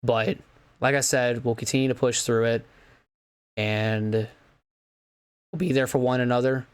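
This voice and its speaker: A hoarse young man losing his voice, rambling earnestly with stumbles and restarts as he wraps up a sim racing commentary. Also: mid-range voice, steady tone, unhurried. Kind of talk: casual outro after play-by-play commentary.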